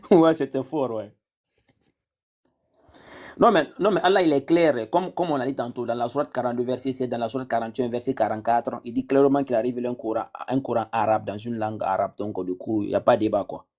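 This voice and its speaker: A man on a live discussion, explaining step by step and reading passages aloud, his voice dropping low as he closes each point. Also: brisk, darkish tone. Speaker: debate panelist.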